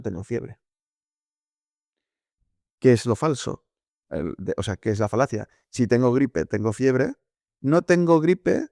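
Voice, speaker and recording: A man's voice: mid-range voice, vocal fry, dark tone, dry recording, studio quality. A man co-hosting a casual podcast, explaining ideas step by step with hesitations and self-corrections. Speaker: podcast co-host.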